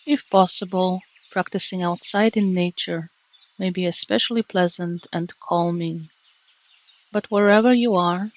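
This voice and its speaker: A woman guides a meditation in a gentle, calming manner. Her delivery is slow, with long, measured pauses between phrases. The sound is a little muffled, and birds and nature sounds play behind her voice. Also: mid-range voice, no room sound. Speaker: meditation teacher.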